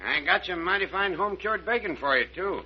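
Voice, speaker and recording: An actor playing a folksy, good-humored older country doctor in old-time radio drama, with drawling rural turns of phrase. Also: male voice, high-pitched, lively intonation, brisk, slight background noise. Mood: cheerful. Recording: dull, band-limited old radio recording.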